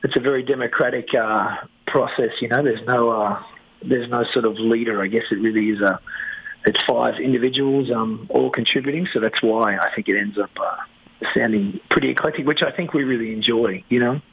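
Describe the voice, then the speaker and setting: A man's voice: medium pitch, steady tone, brisk, gravelly, breathy edge, no echo, dull audio. Australian male singer, easygoing and jokey, dry close sound. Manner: laid-back, joking, says "mate". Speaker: band lead singer, guest. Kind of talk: casual radio interview.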